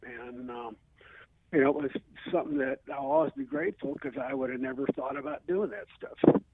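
A man's voice: on the high side, huge pitch swings, fairly quick, gravelly, breathy edge, no echo. An older American man reminiscing casually as a radio phone-in guest, rambling with plenty of verbal filler. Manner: rambling, peppered with "you know". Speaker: phone-in guest, retired teacher. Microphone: telephone. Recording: tinny narrowband phone line.

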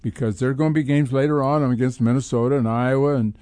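Older American man as sports radio co-host, warm low-brightness tone, dry studio mic, casual commentary. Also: steady tone, fast, no echo.

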